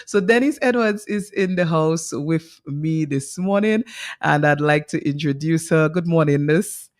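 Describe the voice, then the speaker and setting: A woman's voice: deep voice, a little slow, a little mellow, dry recording, studio quality. A woman hosting a radio health talk show, speaking in a friendly, rambling way with restarts.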